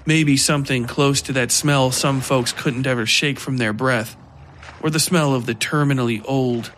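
A man's voice: medium pitch, fairly even intonation, normal speed, a bit bright. Man narrating horror fiction over watery noise. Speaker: podcast narrator.

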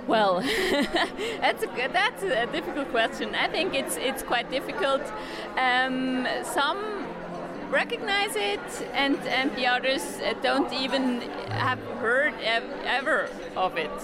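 A woman's voice: high voice, lively intonation, takes it slowly. A woman from Switzerland, answering interview questions as a delegate in a hesitant way, repeating words. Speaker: coeliac association delegate being interviewed. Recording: loud music and crowd behind voice. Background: music, busy crowd.

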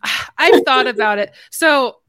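A woman's voice: sits high, animated, a little slow, fairly bright tone, no room sound. A woman in a casual podcast chat, talking in a chatty, self-interrupting way. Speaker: podcast speaker.

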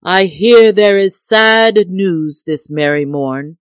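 A woman plays a merry young outlaw from a storybook, full of playful teasing. His archaic speech is hearty and drawn out, with a lifted pitch. The sound is dry. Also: fairly even intonation, takes it slowly, clear, resonant tone, dry recording. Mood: mock sorrowful.

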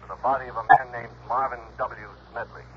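An actor playing a dry, businesslike American insurance official on the telephone, in clipped mid-century radio drama style. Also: male voice, medium pitch, flat delivery, brisk. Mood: dry, grim. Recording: dull, faintly noisy old radio recording.

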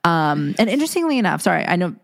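A woman's voice: fairly low pitch, very animated, quick pace, creaky. A young woman co-hosting a casual chat podcast, rambling with many fillers and restarts. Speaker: podcast co-host. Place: Los Angeles.

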